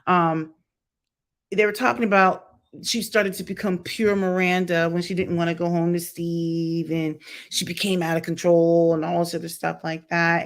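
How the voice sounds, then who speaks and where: A middle-aged woman giving candid, casual opinion commentary as a vlogger, talking in a chatty, blunt way with mocking asides. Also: fairly low pitch. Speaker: vlogger reviewing a TV show.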